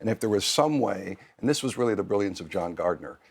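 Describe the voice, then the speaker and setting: A man over fifty giving measured, articulate explanations as an interview guest. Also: lowish voice, animated, creaky, breathy edge. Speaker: nonprofit program leader, interview guest.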